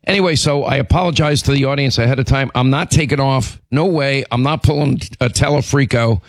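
A middle-aged man hosting a talk radio show with a hoarse, raspy voice from laryngitis, clearing his throat and talking emphatically. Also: mid-range voice, fairly quick, dry recording. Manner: emphatic, repetitive, combative. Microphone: studio microphone.

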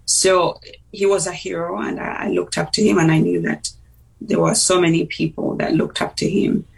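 Woman in her forties, husky voice, reflective guest speaking slowly, with fillers. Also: fairly low pitch, steady tone, distant mic, rough recording. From Uganda. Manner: thoughtful, unhurried, with frequent pauses and fillers. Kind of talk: personal testimony in a talk-show interview.

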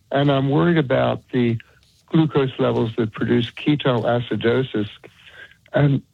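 A man in his seventies calling in to a radio show, speaking politely and hesitantly with pauses. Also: medium pitch, gravelly, husky, no room sound. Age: 70s. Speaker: caller. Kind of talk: phone-in call. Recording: tinny phone line.

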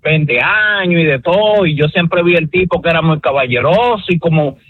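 Rambling Dominican man, high voice. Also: normal speed, breathy edge, no echo, phone-quality sound. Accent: Dominican Spanish. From Dominican Republic. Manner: rambling, chummy storyteller. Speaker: caller or guest.

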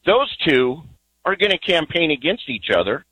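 A man's voice: fairly high pitch, big pitch swings, moderate pace, bright, forceful tone, slightly rough, no room sound, rough recording. Earnest rambling radio caller, man. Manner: earnest, rambling, with many ums. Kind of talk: call-in talk radio.

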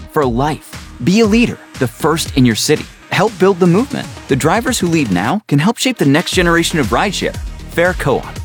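A man reading scripted radio ads in a punchy, persuasive way. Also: a little high. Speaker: ad announcer. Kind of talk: scripted ad read.

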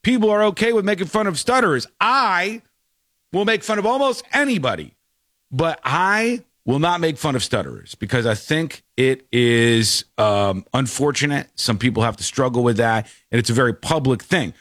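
A man's voice: medium pitch, moderate pace, fairly bright tone, no echo, studio quality. A man in casual comedic chat, talking bluntly and animatedly, joking with mild swearing.

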